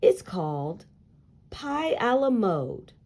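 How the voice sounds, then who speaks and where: A woman voicing a cheerful, wise aunt in a children's storybook, with upbeat, emphatic, drawn-out delivery. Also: medium pitch, very animated, darkish tone, voice pushed up, exaggerated voice. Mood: gleeful. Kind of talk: storybook character voice.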